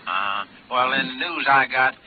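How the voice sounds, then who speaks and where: An actor playing an easygoing, folksy local informant in a 1950s radio drama, informal and a little hesitant. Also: male voice, medium pitch, measured pace, natural voice. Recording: noisy, thin, phone-like old radio recording.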